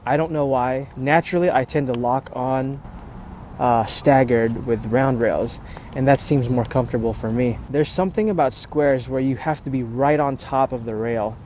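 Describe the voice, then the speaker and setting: Male skate vlogger, average pitch, loose conversational delivery, slightly noisy phone-earpiece sound from an outdoor urban spot. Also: moderate pace, darkish tone. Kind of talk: casual rambling vlog chat. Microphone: earphone microphone with a phone.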